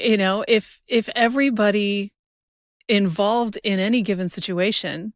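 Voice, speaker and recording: A woman's voice: medium pitch, no room sound. American woman hosting, dry close sound. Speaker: podcast host. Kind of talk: casual interview conversation.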